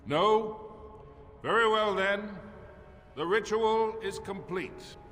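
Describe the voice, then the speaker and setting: A man's voice: sits high, takes it slowly, a bit bright. An actor playing a proud, stern dwarf king in a fantasy game, with formal, imperious oratory. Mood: ceremonial, rousing. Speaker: king addressing his court. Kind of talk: theatrical fantasy game acting. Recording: reverberant hall, music underneath.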